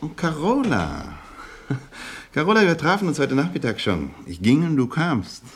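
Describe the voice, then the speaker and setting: An actor playing an effusive, ingratiating bachelor businessman in a German radio play, with a husky voice and a gushing, hesitant manner. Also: fairly high pitch, huge pitch swings, a little slow, exaggerated voice. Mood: jovial, coaxing. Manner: gushing, hesitant, chuckling between phrases. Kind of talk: naturalistic German radio drama acting.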